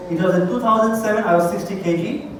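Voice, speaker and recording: A man's voice: high-pitched, quick pace. A man from Bangladesh with a Bangladeshi accent gives an informal, emphatic promotional talk to a live audience, often checking in with "okay" and "right". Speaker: doctor speaking as a product distributor. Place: large echoing room at a live event. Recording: very echoey hall, distant mic, noisy.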